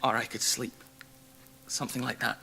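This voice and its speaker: An actor playing a nervous, haunted Victorian gentleman in a radio drama, speaking haltingly and uneasily. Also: medium pitch, little pitch movement, vocal fry, a little hiss or hum. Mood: uneasy.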